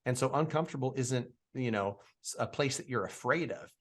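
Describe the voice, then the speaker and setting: Podcast host, male, 40s, mid-pitched, casual and eager, loose conversational delivery. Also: a little fast. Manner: enthusiastic, conversational, heavy on "like" and "you know". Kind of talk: casual interview chat.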